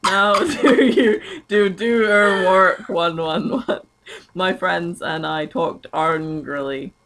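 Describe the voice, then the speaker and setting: Slow, bright-voiced young British reader. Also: medium pitch. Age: young adult. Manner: giggly, breaking off into asides. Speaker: amateur dramatic reader of fan fiction. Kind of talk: mock-dramatic reading aloud mixed with casual chat.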